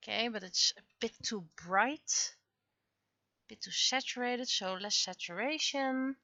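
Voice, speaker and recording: A woman's voice: on the high side, a little slow, pushed and bright, lo-fi sound. A woman livestreamer chatting casually while she draws, often muttering to herself as she works. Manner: mutters to self while working. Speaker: livestreamer and webcomic artist.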